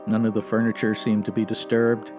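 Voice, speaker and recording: A man's voice: on the low side, monotone, brisk, music in the background, natural voice. A man playing a stoic, no-nonsense 1950s police sergeant, narrating in clipped, matter-of-fact radio detective style. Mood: flat, factual. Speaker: actor in a comedy audio drama.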